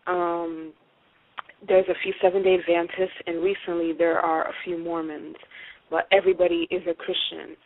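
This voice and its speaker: Young woman guest with a creaky voice on the phone. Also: lowish voice, level tone, moderate pace, no echo. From Haiti. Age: 20s. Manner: hesitant, filler-heavy. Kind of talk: casual radio interview. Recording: dry, tinny phone-line audio.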